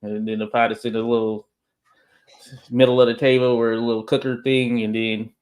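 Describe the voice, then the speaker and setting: A man's voice: mid-range voice, little pitch movement, fairly quick, a little mellow, smooth. A man from Arkansas with a Southern accent, chatting casually about boxing on a podcast and laughing often.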